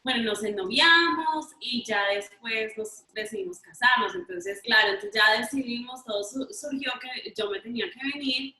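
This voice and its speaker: A woman's voice: on the high side, moderate pace, few pauses, sharp tone. A young woman with a Colombian accent, telling personal stories casually and repeating words for emphasis. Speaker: interview guest. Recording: roomy, echoing sound.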